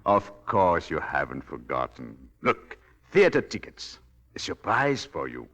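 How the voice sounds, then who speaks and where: An actor playing a suave, manipulative husband in 1940s radio drama style, condescending and commanding by turns. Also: male voice, sits low, big pitch swings, unhurried, a bit bright, heavily acted. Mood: smooth, condescending. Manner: smoothly condescending, shifting to stern commands. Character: suave, controlling, manipulative husband and master of the house.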